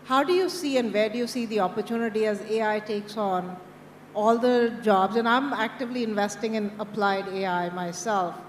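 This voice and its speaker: A woman's voice: medium pitch, moderate pace. A woman asking a rambling question from the audience at a conference, speaking into a handheld mic with many fillers and restarts. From India. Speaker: audience questioner, fund founder. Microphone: handheld microphone. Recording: echoey hall with faint background noise.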